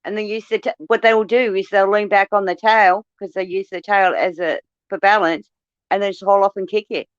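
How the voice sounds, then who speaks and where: A woman's voice: mid-range voice, steady tone, fairly quick, clean tone, no room sound, dull audio. A woman from Australia with an Australian accent, chatting casually, blunt and swearing freely as she tells stories. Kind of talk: casual chat.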